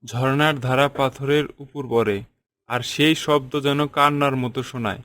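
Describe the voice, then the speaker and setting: A man's voice: medium pitch, fairly even intonation, unhurried, sharp tone. A man voicing a clever, thoughtful young friend in a cartoon fairy tale, explaining things reflectively. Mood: pondering.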